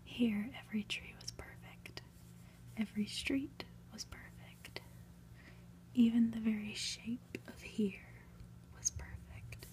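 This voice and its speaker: A woman softly whispering a story aloud in an ASMR style, deliberate and lingering on phrases with pauses. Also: on the high side, fairly even intonation, low-quality recording. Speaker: ASMR reader, channel host.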